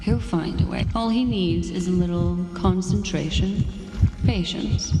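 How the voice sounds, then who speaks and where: A woman voicing a composed, self-assured mystic in a video game, with poised, deliberate delivery. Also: lowish voice, close to an everyday voice. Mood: reassuring. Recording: long echoing reverb, music behind.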